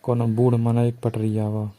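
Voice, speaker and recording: Mellow Bhojpuri village man, scheming lover played by an actor. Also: medium pitch, completely flat delivery, measured pace, a little hiss or hum, natural voice. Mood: conspiring, low-key. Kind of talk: casual phone conversation in rural dialect.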